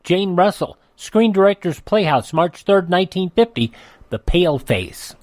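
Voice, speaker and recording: A man's voice: high voice, lively intonation, normal speed, a little mellow, no room sound. A man reading scripted announcements and promotions as a radio show host.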